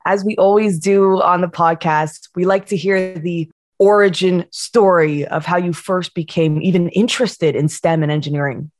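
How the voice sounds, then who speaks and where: A woman hosting a podcast interview, asking measured questions in an upbeat, encouraging way. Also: fairly low pitch, fairly even intonation. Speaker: podcast host.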